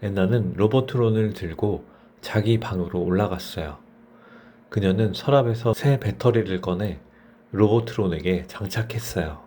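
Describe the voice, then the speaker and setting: A man's voice: on the low side, dark tone, a little hiss or hum. A man narrating a bedtime story for children as a storyteller.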